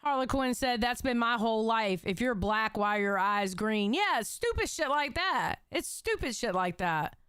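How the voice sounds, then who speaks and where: A woman's voice: on the high side, expressive, moderate pace, hardly any pauses, fairly bright tone, clean tone, dry recording, studio quality. A young adult woman streamer giving blunt, heated commentary on clips and reading out chat comments. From Puerto Rico. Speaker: reaction streamer. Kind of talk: casual commentary reacting to clips.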